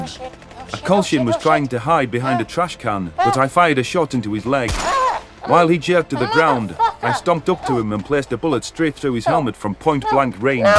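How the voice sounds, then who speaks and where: A man's voice: medium pitch, natural voice. A man playing a grieving, vengeful alien soldier who narrates a sci-fi war story in earnest, formal, articulate language. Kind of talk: first-person sci-fi story narration and dialogue.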